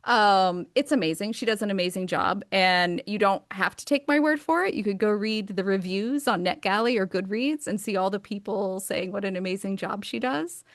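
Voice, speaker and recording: A woman's voice: mid-range voice, normal speed, few pauses, no room sound, clean studio sound. A woman in her thirties with an American accent, talking about her books as an interview guest, enthusiastic and chatty with frequent fillers. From United States. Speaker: author and guest. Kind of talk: casual interview conversation.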